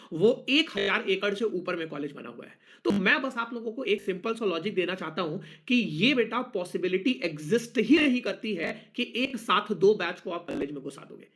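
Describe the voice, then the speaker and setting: A young Indian man with a high-pitched voice talks like an online teacher in a live session. He is emphatic and informal, often repeating key phrases and dropping English words into his speech. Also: fairly bright tone. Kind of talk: informal live lesson addressed to students.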